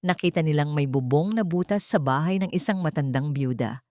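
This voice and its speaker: A woman with a fairly low voice tells a dramatic romance story in a steady, scripted way. The recording is close and dry, with no room sound. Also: a little fast, no echo. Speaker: narrator.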